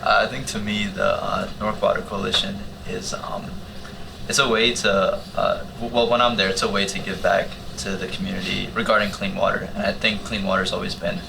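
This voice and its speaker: Casting: young male panelist, even gravelly delivery, earnest and halting, clear background noise. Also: on the low side. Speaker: youth volunteer organizer. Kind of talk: casual panel talk.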